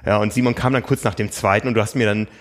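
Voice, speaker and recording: A man with a fairly low voice talks through a relaxed podcast conversation. He speaks thoughtfully and often hesitates with fillers as he gathers his thoughts. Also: rapid-fire. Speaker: podcast host and magazine editor.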